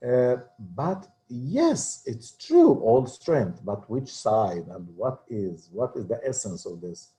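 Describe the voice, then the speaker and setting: A man's voice: mid-range voice, sing-song, takes it slowly, hardly any pauses, warm tone. A man teaching a religious class in an informal, hesitant way, with frequent fillers. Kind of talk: informal lesson talk.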